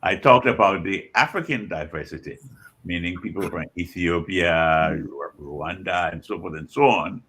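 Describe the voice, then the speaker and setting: An older man in his seventies or beyond with a Liberian accent, speaking reflectively as an interview guest in measured, deliberate phrases with pauses and repetitions. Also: low voice, pushed and bright, husky. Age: 70s or older. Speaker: guest author and scholar.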